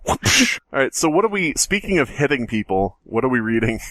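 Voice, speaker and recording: A man's voice: mid-range voice, sing-song, a little fast, fairly bright tone, no room sound. A man co-hosting a podcast in casual, joking banter and story reading, with a wry manner. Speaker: podcast co-host.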